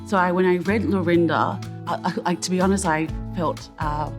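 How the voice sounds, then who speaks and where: A woman giving a sincere, slightly halting personal reflection in an interview. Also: lowish voice, fairly even intonation, music in the background. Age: adult. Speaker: theatre team member.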